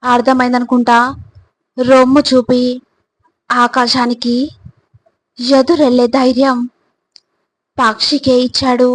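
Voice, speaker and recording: A woman reading scripted motivational narration in a deliberate, instructive manner, addressing the listener directly. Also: sits high, poor audio.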